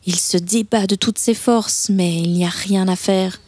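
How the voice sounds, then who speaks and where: A woman's voice: medium pitch, fairly even intonation, unhurried, slight background noise. A woman narrating a scripted children's story as a storyteller. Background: soft background music.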